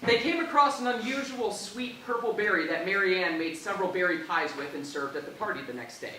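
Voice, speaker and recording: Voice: mid-range voice, fairly quick, bright, forceful tone, recorded from a distance. An amused host introducing and narrating a comic staged reading in a casual way, prone to laughing. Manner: amused, often breaking into laughter. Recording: echoey, very noisy room, off mic.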